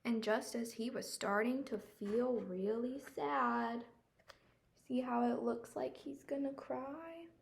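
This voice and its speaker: A woman's voice: on the high side, vocal fry. A woman storyteller reading a picture book aloud, expressively explaining and asking young listeners questions.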